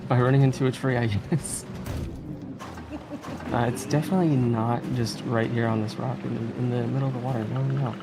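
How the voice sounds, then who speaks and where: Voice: medium pitch, fairly even intonation, fairly quick, very noisy. A speedrunner giving casual, giggly, easygoing live commentary while playing a video game.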